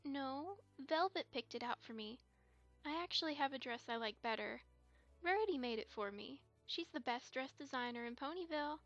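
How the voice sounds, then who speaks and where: A woman's voice: sits high, expressive, normal speed, fairly bright tone, highs cut off, faint music behind. A woman playing an earnest, slightly defensive young unicorn filly with a childlike, hesitant manner in an amateur audio drama. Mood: childlike complaint.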